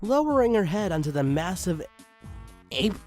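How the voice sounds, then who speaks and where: A man's voice: sits high, normal speed. A man reading fan fiction aloud in a mock-dramatic style, breaking off for amused asides. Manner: animated, breaks off to comment. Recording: music under the voice. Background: background music.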